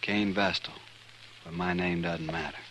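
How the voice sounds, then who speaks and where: An actor playing a stubborn, quietly bitter man bent on revenge, grave and deliberate, in a noisy 1950s western radio drama. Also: sits low, steady tone. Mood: quiet menace. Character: brooding, stubborn male avenger, quietly bitter and menacing.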